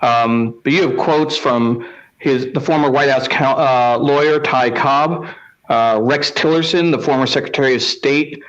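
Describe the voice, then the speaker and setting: A man hosting a casual live show, reading things out in short, halting phrases with pauses between them. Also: medium pitch, a bit bright, muffled recording. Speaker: host of a live newspaper read-along.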